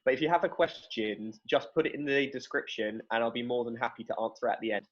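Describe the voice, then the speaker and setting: A man's voice: medium pitch, fast talker. A man casually hosting an online event over a video call, friendly and informal. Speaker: host. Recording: slightly dull lo-fi sound.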